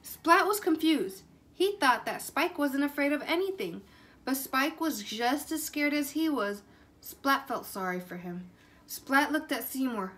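A woman's voice: high-pitched, lively intonation, measured pace, a bit bright. A woman in her twenties reading a children's story aloud with expression. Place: room at home, indoors.

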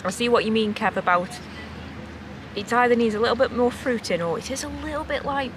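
A woman's voice: a little high, brisk. Woman vlogging outdoors, noisy background. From Britain. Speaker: travel vlogger. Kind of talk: casual vlog chat. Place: outdoors in a city park. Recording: noisy outdoor location sound.

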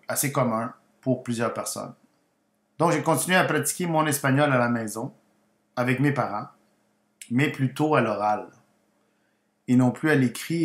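A man from Guatemala who moved to Quebec as a child, a native Spanish speaker, tells his personal story as a language teacher in deliberate, separate phrases. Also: medium pitch. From Guatemala, moved to Quebec, Canada aged ten. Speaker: language teacher and channel host. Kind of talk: personal story told as a slow educational narration. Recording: roomy, echoing voice recording.

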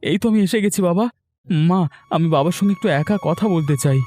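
A man's voice: high voice, normal speed, a little mellow, soft background music, close to an everyday voice. A man playing a young, quarrelsome and resentful village son in a cartoon moral story, with a pushy, argumentative manner. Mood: coaxing.

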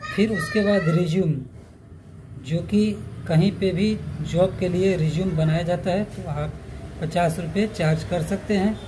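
A man's voice: high-pitched, fairly even intonation, a little slow, background noise. A man presenting an informal step-by-step tutorial, instructing listeners in a friendly-address style. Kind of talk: informal explanatory tutorial narration.

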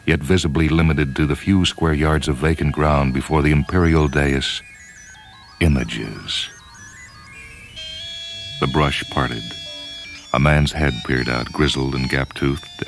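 A man narrating a story in a dramatic, measured, grave manner. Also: extremely low pitch. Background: music.

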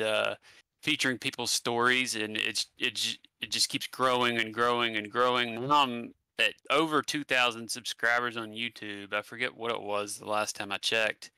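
Bright-voiced West Virginian man, halting. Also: mid-range voice, smooth, dry recording, low-quality recording. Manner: halting, many fillers and restarts. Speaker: guest, paranormal channel creator. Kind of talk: casual conversational interview. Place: home room, indoors. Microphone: headset mic on a video call.

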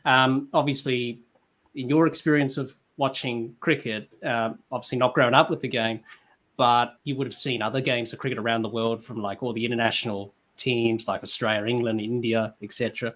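A man's voice: medium pitch, steady tone, brisk, fairly bright tone. A young man hosting a casual interview over a video call, agreeable as he puts his questions. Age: young adult. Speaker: podcast host, interviewer. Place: indoor room at home.

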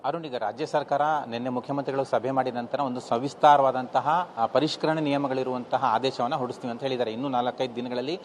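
A man's voice: medium pitch, fairly even intonation, quick pace. A man with a Kannada accent giving a live news report in long explanatory phrases with hesitant gaps. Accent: Kannada, Karnataka. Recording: faint ambient noise.

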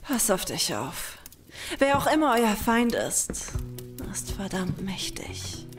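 Woman playing imperious, slow-speaking occult seer. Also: medium pitch, very animated, music in the background. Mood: grave. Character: imperious mysterious female medium and occult seer. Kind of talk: German fantasy horror audio drama acting.

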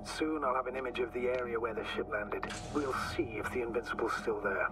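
A man playing a calm, skeptical astrogator who guides a mission in a video game, measured and matter-of-fact. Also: medium pitch, over music, close to an everyday voice. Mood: composed. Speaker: astrogator guiding by radio. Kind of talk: naturalistic video game acting.

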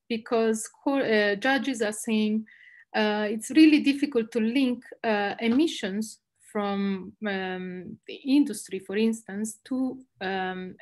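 A young woman from northern Italy with an Italian accent, explaining as a guest speaker on an online panel. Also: mid-range voice. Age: young adult. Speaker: guest speaker, environmental law specialist. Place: indoors, room at home. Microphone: video call, laptop distance.

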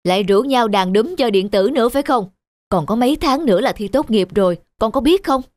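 A woman voicing a stern, worried mother who nags and reproaches her teenage son in an animated drama. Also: a little high, brisk, no echo, lightly acted. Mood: scolding. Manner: nagging, reproachful.

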